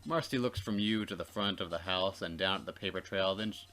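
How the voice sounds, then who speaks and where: A man reading visual novel narration aloud as a let's play co-host, expressive and wry, with casual asides. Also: low-pitched, fairly quick, soft background music.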